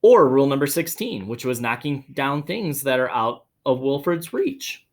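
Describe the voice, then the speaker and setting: A man with a very animated, swooping delivery reads a picture book to children. He is dramatic with the story and slips into casual asides as he goes, speaking to the kids directly. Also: mid-range voice, moderate pace. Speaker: children's read-aloud host, teacher or school staff.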